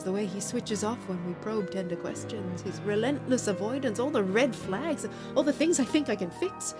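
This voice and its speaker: An actress playing a heartbroken, anxious young woman in an audio drama, speaking intimately and deliberately, as if confessing. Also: medium pitch, close to an everyday voice. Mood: aching, intense. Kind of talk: audio drama monologue and dialogue.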